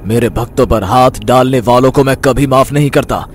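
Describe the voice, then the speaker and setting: A man's voice: mid-range voice, moderate pace, slight background noise, natural voice. Man voicing a commanding, stern protector god. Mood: wrathful. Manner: solemn, commanding, authoritative. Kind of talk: Hindi animated devotional story.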